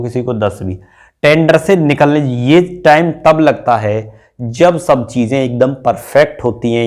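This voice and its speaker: Man, mid-pitched voice, informal teacher, step-by-step explainer, repeats points. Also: a little mellow. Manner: repetitive step-by-step explaining, frequently checking with "okay". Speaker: exam-prep teacher and channel host. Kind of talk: informal explainer addressed to exam candidates.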